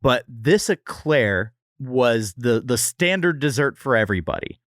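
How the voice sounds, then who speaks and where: Male podcast co-host, lively swooping delivery, casual and jokey, prone to hyperbole, dry studio sound. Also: mid-range voice, a little slow, dry recording. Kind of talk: casual comedic food review chat.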